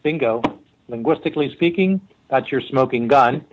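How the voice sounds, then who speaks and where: A man's voice: medium pitch, lively intonation, moderate pace, narrow, tinny audio. Middle-aged Philly-accented man, loose rambling guest storyteller. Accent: Philadelphia. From Philadelphia. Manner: rambling, many fillers and restarts. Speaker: forensic linguist guest. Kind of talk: casual interview storytelling.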